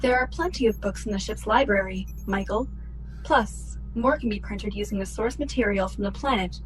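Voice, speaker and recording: A woman playing a logical, guilt-ridden female ship's computer in a sci-fi radio play, speaking in formal, measured phrases. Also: medium pitch, slight background noise, close to an everyday voice, rough recording. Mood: matter-of-fact. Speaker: actor in a student radio play.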